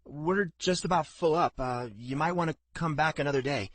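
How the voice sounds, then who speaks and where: A man's voice: mid-range voice, animated, fairly quick, fairly bright tone, breathy edge, no room sound, voice pushed up. A man voicing a nervous young stable hand whose voice trembles with fear. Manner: trembling, fearful.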